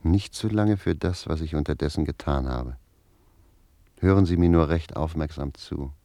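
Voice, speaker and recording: Radio play servant, very low dark voice, close and dry; roguish, garrulous, measured storyteller. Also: male voice, no room sound. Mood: dry, self-satisfied. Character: roguish, garrulous manservant reporting back to his master. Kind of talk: German radio play acting.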